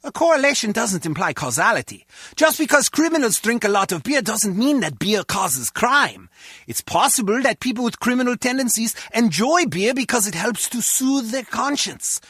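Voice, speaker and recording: High-voiced, pedantic German henchman. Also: very animated, normal speed, bright, forceful tone, slightly rough, no room sound, clean studio sound, full character voice. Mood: earnest lecturing. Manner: earnest, pedantic, lecturing. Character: philosophical German henchman guard, earnest and excitable. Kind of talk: video game character dialogue.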